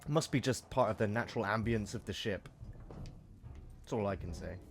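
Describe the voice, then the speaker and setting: A man with a croaky voice from a cold, chatting through gameplay commentary with joking asides. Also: lowish voice, normal speed, soft background music. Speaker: let's play host.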